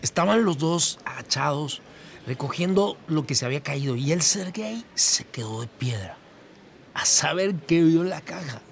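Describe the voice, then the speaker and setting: An actor playing a kindly, sentimental Mexican campus worker giving testimony in an audio drama, chatty and hesitant. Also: male voice, a little high, animated, moderate pace, gravelly. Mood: warm, moved. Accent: Latin American Spanish, Mexican. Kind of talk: documentary-style testimony, scripted audio drama. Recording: voice over noticeable ambient noise.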